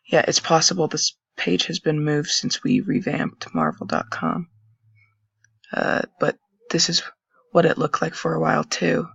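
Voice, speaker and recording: Young man, raspy gravelly voice, rambling casual commentary, dull lo-fi dry recording. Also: a little high, a little slow, a bit bright, no echo. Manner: meandering, thinking aloud. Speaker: channel host. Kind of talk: casual commentary while browsing.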